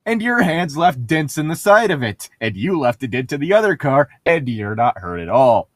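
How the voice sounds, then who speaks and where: Lively man reading and joking. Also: mid-range voice, moderate pace, no room sound. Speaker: podcast co-host reading a novel aloud with commentary. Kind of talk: read-aloud mixed with casual banter.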